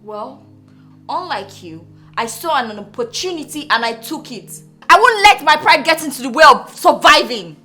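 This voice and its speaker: A high-pitched, emotional wife in a screen drama. She sounds weary and wounded, yet pushes back with exasperated defiance. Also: very animated, a little fast, few pauses, sharp tone, soft background music, full character voice. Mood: exasperated, defiant.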